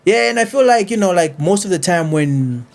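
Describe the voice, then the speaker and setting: A male podcast host with a somewhat high, energetic voice. He talks fast in casual street slang, hyping up his guest as he leads the interview. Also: vocal fry.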